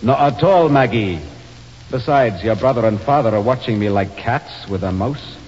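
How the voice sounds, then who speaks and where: An earnest, humourless young Scotsman in a stage drama, stubborn and declamatory. His husky voice moves in lively swings of pitch. It sounds dull, with long hall reverb. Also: normal speed. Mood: stiff, dutiful. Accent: Scottish.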